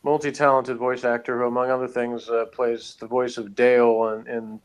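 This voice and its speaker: A man's voice: mid-range voice, little pitch movement, normal speed, clear, resonant tone, poor audio. Easygoing man chatting about pop culture. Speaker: review show co-host. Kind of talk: casual pop-culture discussion.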